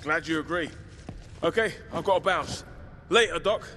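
A man's voice: on the high side, normal speed, heavy background noise, lightly acted. An actor playing a cocky, wisecracking survivor in a video game, a British man with glib, breezy bravado. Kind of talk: video game cutscene acting.

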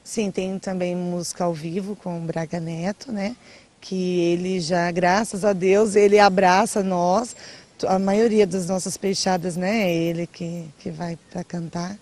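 A woman's voice: fairly low pitch, steady tone, measured pace, a little mellow. A young woman being interviewed outdoors, answering in a casual, chatty way. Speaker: marketing manager of a charity. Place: outdoors by a brick wall.

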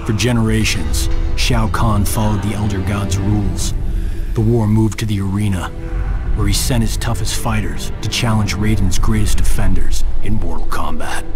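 An actor playing a grave, resolute war veteran narrating past battles in a measured, cinematic game style. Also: male voice, lowish voice, lightly acted. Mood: solemn, measured. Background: loud cinematic music.

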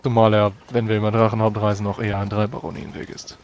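A man playing a weary adventurer in a tabletop game, deliberate and dry, pausing to think. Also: on the low side, little pitch movement, muffled recording, slight background noise, natural voice. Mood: pondering. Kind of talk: tabletop roleplay in character.

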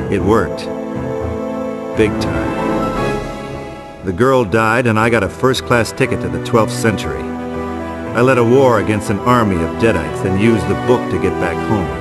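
Low-voiced sardonic horror hero played by a man. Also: natural voice. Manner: deadpan, dramatic pauses. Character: weary, sardonic male horror hero, tough wisecracker. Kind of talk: scripted first-person horror game narration. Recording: loud music behind voice.

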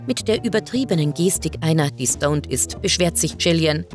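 A woman's voice: deep voice, expressive, normal speed. A woman reading scripted first-person narration as the reader of an audio short story. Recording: music behind the voice. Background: music.

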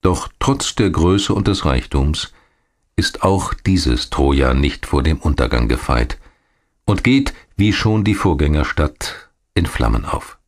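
A man reading scripted documentary narration in a measured, deliberate storytelling manner. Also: extremely low pitch, lively intonation.

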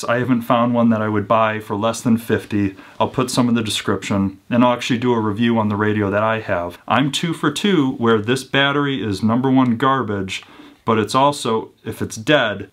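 A man in his thirties giving a casual product review to camera indoors, chatty and informal, often checking in with the listener. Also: lowish voice. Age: 30s. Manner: informal, conversational, checks in with "right?". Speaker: host of a preparedness gear channel. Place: small indoor room with built-in shelves.

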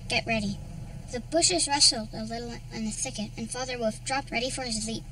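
An actress playing a young woman who reads a jungle story aloud, lively with the animal voices, and recalls her childhood. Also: fairly high pitch, brisk, slight background noise. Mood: storytelling. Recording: film audio from a distance, music behind.

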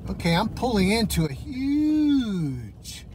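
A man's voice: sits high, big pitch swings, slow pace, darkish tone. A man giving casual running commentary as a camping vlogger, thinking aloud in short phrases. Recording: noisy, car and vehicle sounds.

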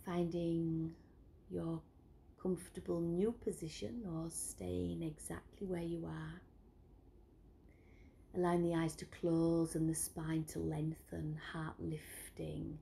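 A woman leading a guided breathing meditation, giving slow, soothing instructions that often drop to a whisper. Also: low-pitched, level tone, gravelly, mellow, slight background noise.

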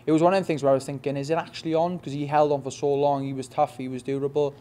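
A young man with a Welsh accent in a post-fight interview, talking quickly with many restarts and "you know what I mean". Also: fairly high pitch, level tone, warm tone. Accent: Welsh, Swansea. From Wales. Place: press backdrop indoors. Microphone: reporters' handheld mics in front.

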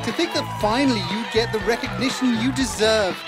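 A man's voice: very high voice, fairly quick, over a noisy crowd. A man with a British accent playing a fussy, kindly children's storybook narrator in a game, theatrical and quaintly polite. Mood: excited, proud. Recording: music under the voice.

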